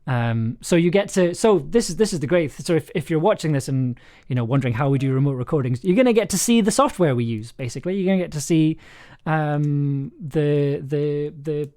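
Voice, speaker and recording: A man's voice: a little high, lively intonation, a little fast, dark tone. Enthusiastic, rambling man hosting a livestream. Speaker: host, audio drama writer and producer. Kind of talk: casual livestream chat. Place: remote online call on a livestream.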